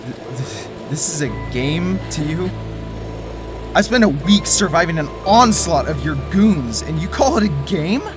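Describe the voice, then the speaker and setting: A man's voice: a little high, expressive, unhurried, music in the background. A man playing a weary ex-conspiracy theorist. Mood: angry. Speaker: lead character.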